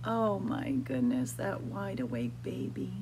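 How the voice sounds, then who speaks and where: A middle-aged woman reads a children's picture book aloud at a very slow, careful pace. Her slightly low voice moves expressively through sing-song phrases, as a storyteller speaking to small children. There is some background noise in the room. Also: sing-song, creaky, darkish tone. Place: indoors in a library room with bookshelves.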